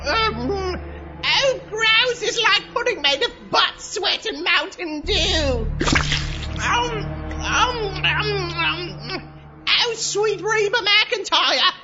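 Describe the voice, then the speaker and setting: A woman's voice: extremely high pitch, lively intonation, extremely slow, heavily acted. A woman voicing a greedy, whiny, crude little sidekick with comic, melodramatic grumbling in a horror comedy audio drama. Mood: disgusted, groaning. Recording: dull sound over music.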